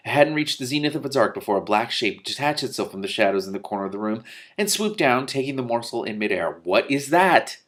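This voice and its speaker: A man hosting an expressive read-aloud of a novel, breaking off for casual, candid reactions. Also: medium pitch, fast. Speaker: host reading a novel aloud with commentary.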